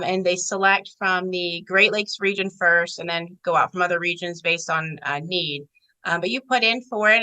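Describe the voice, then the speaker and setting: A woman's voice: medium pitch, pushed and bright, smooth, poor audio. A woman giving informal, explanatory answers in a conversational interview about her job as an air traffic controller.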